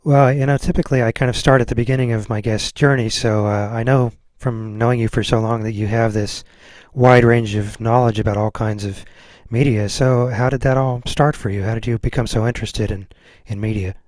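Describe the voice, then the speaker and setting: A man working as an interview host, chatting casually as he puts questions to a guest. His voice sits in an ordinary middle pitch, recorded very dry with hardly any room around it. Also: steady tone, a little fast, darkish tone, dry recording.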